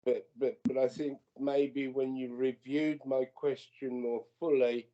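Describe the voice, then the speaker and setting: A man's voice: medium pitch, little pitch movement, mellow. A male councillor asking probing questions at a formal committee meeting. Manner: measured, probing questions read from notes. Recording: muffled lo-fi meeting audio.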